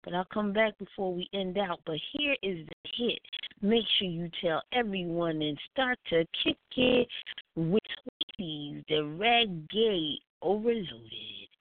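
A woman's voice: fairly low pitch, lively intonation, a little slow, slight background noise. A woman hosting a casual radio music show, announcing in an informal, conversational way. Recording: thin phone-like line.

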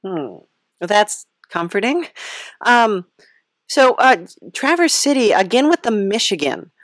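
A woman's voice: mid-range voice, big pitch swings, unhurried, no room sound, studio quality. A woman hosting a podcast interview in a casual, playful, rambling way, often hesitant and giggly.

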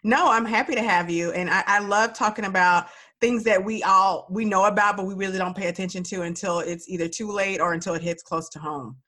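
A woman's voice: mid-range voice, fairly quick, sharp tone. A woman hosting an upbeat podcast interview, a bit stuffy and nasal from a cold, talking in an enthusiastic, encouraging way. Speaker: podcast host.

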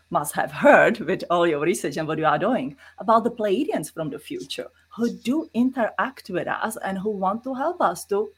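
A woman's voice: mid-range voice, husky. A middle-aged woman with a Czech accent, earnestly explaining spiritual experiences as an interview guest in non-native English.

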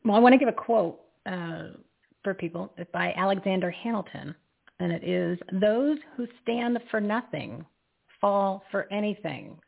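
A woman with a husky, gravelly voice, heard over a narrow, phone-like line. She hosts live radio in a loose, rambling way, losing her thread and laughing at her own slips. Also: medium pitch, unhurried. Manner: rambling, loses her train of thought, laughs at herself. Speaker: radio show host. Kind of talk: casual live radio talk.

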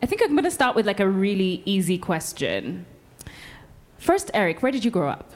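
A woman's voice: medium pitch, sing-song, normal speed, a little mellow. A woman hosting a live podcast panel, bantering with guests and encouraging them in an exclamatory way. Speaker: podcast host. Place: live event with an audience. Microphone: event microphone.

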